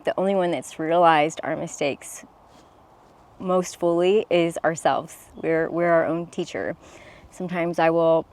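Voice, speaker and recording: Woman, young adult, slightly low pitch; sincere, encouraging to-camera coaching talk. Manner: earnest and encouraging, with restarts. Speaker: self-expression coach.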